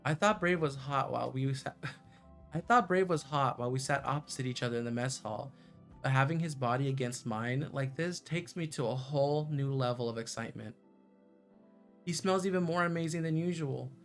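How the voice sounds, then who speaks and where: A man's voice: mid-range voice, moderate pace, fairly bright tone. A man streaming a visual novel, reading its narration aloud and reacting live. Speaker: game streamer. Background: game music.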